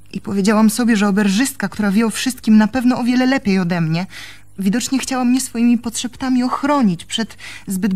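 An actress playing a troubled young woman in a radio play, a devoted but reproachful lover speaking earnestly and haltingly. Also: medium pitch, dark tone, close to an everyday voice. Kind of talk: Polish radio play acting.

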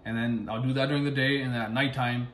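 A young man sitting at home, telling his own story to camera in a relaxed way with lots of fillers. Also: medium pitch, fast talker, a little hiss or hum. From Pakistan, raised in the United States. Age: young adult. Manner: relaxed, many fillers, gestures with hands while talking. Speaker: medical resident vlogger. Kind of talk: casual personal storytelling to camera. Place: living room at home, indoors. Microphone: camera in front, seated. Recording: echoey room.

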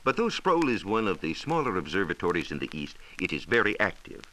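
Deep-voiced American man, animated radio science explainer. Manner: measured, didactic, precise. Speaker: science reporter explaining astronomy. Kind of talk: scripted 1940s radio science talk. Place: radio studio. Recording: hissy 1940s radio transcription disc.

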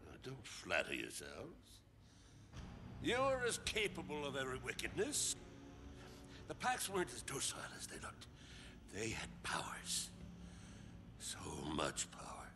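A bitter deranged old man, slow and theatrical, pitch all over the place, loud noise behind, game cutscene. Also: huge pitch swings. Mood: fervent, defiant. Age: older. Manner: halting, theatrical, self-justifying, lapsing into whispers. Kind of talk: dramatic video game cutscene acting.

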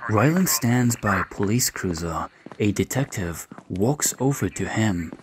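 A man's voice: lowish voice, animated, unhurried, noisy background. A man reading scripted scene narration for an audio drama.

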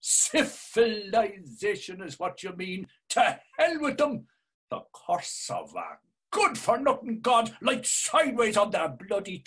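A man playing a husky ranting Irish barfly. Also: high-pitched, fairly bright tone, full character voice. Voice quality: husky, bellowing rants. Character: fiery nationalist old Dublin drinker, ranting. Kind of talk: dramatic reading over video call. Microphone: video call webcam.

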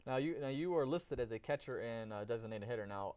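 A man's voice: medium pitch, lively intonation, quick pace, a little mellow, clear, resonant tone. A man hosting a sports show, asking rambling interview questions with many restarts.

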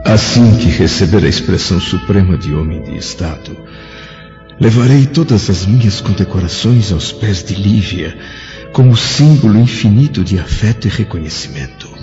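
An actor playing a proud, lovesick Roman senator in a radio drama, declamatory and yearning. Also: male voice, sits low, lively intonation, moderate pace, dark tone. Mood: proud longing. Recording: dull lo-fi, clear music behind.

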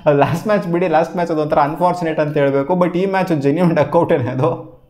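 A young man gives an excited, slangy cricket match breakdown from a small home studio, waving his hands as he talks. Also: on the high side, fast talker, roomy sound. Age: young adult. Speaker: cricket YouTuber. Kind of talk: post-match analysis vlog. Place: small home studio with gaming chair and LED lights.

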